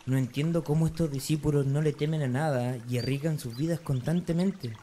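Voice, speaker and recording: A man's voice: fairly high pitch, steady tone, fairly quick, mellow, slight background noise, lightly acted. A man acting as a zealous, dutiful young Pharisee, speaking earnestly and resolutely in an amateur radio drama. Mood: troubled, resolute.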